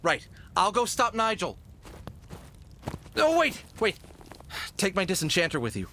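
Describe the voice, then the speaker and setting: A man's voice: high voice, huge pitch swings, a little slow, slight background noise, heavily acted. A man playing a plucky, quippy fox adventurer in a cartoon audio drama, with a brash delivery. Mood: cocky, easygoing. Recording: loud music behind.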